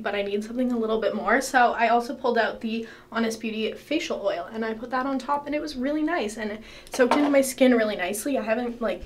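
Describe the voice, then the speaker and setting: A woman in her twenties chatting casually to the camera at home, bubbly and gushing, with lots of filler words. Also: on the high side. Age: 20s. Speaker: beauty vlogger. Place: home, bathroom and living room.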